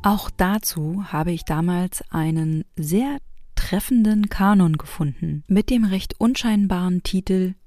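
A woman's voice: lowish voice, measured pace, dark tone, no room sound. A woman hosting and narrating a homemade audio programme, speaking personally to her listeners.

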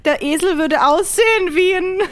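A woman's voice: very high voice, steady tone, normal speed. A woman co-hosting a podcast, chatting casually in a playful way. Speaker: podcast co-host. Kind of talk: casual chat.

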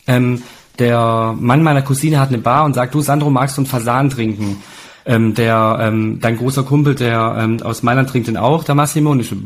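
A man's voice: mid-range voice, steady tone, normal speed, slight background noise. A man in his late thirties telling anecdotes in a chatty, colloquial, casual way. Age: late 30s.

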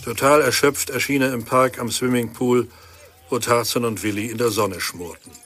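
A man's voice: mid-range voice, fairly even intonation, normal speed, a bit bright, soft background music, natural voice. A man reading scripted narration for an audio play.

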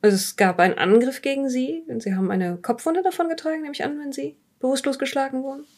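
A woman playing a composed, formal police psychological evaluator in an audio drama, speaking in measured, careful phrases and politely dismissive. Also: high-pitched, darkish tone. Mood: clinical, measured. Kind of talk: improvised audio drama dialogue.

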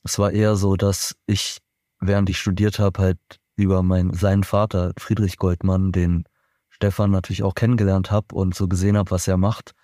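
A man's voice: low-pitched, level tone, moderate pace, mellow, no room sound, studio quality. A man from Berlin, a musician being interviewed, answering in a thoughtful, rambling way with many fillers and restarts. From Germany, Berlin. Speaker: band member, musician guest. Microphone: remote podcast recording.

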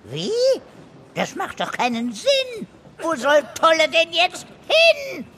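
A woman's voice: very high-pitched, huge pitch swings, slow, pushed and bright, slight background noise, exaggerated voice. A woman playing a cheeky companion who speaks in playful singsong rhymes in a children's audio drama. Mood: indignant.

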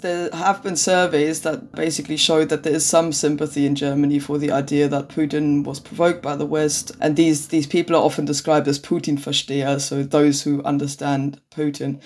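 A man presents an interview podcast in formal, carefully phrased sentences. His voice sits a little on the high side for a male speaker. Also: a little mellow. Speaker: podcast host. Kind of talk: scripted introduction and interview questions.

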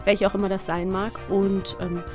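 A woman's voice: medium pitch, level tone, moderate pace, music in the background. A woman hosting a casual podcast, chatting in a playful, encouraging way. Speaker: podcast host and quizmaster.

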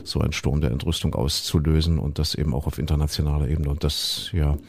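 A low-voiced man co-hosts a casual podcast, speaking earnestly with a cold. He halts often, with fillers and repeated words. The sound is dry and close, with no room echo. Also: steady tone, fast talker, creaky. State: has a cold. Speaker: podcast co-host.

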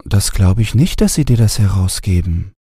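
A man's voice: fairly low pitch, animated, moderate pace, mellow, slightly rough, no room sound, studio quality, pitched above the performer's own voice. A man voicing a plain-spoken housemaid with a doubting, matter-of-fact manner in a storybook reading. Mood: doubtful.

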